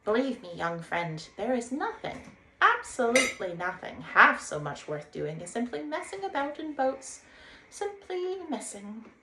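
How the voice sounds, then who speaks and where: In an echoey room, a woman plays a storybook water rat, a cheerful fellow in love with boats. His voice is pitched up and emphatic. He speaks with hearty, dreamy rapture over faint noise. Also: sing-song, a little slow. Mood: dreamy, earnest. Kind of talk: children's storybook read-aloud. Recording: roomy echo, faint background noise.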